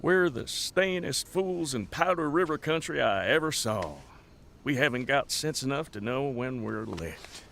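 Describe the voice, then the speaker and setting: A man's voice: mid-range voice, expressive, unhurried, gravelly, a bit bright, a little hiss or hum. An actor playing a weary, plainspoken Montana rancher in an audio drama, folksy and stoic as he talks. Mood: wry. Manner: folksy, stoic, matter-of-fact storyteller. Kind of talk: naturalistic audio drama dialogue.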